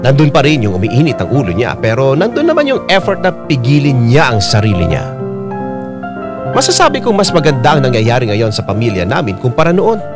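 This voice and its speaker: Expressive male narrator over piano music. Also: mid-range voice, fairly quick. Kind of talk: first-person dramatic story narration.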